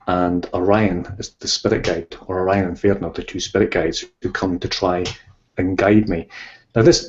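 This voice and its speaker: A man's voice: low voice, darkish tone, highs cut off. A Scottish man in his fifties, an author chatting as a guest in a casual interview, rambling with many ums and restarts. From Scotland.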